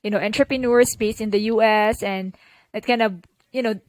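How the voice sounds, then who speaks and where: A woman's voice: mid-range voice, fairly even intonation, brisk, darkish tone, dry recording. A young woman from the Philippines with a Filipino accent, chatting as a podcast guest and filling her talk with "like" and "you know". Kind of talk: casual interview conversation.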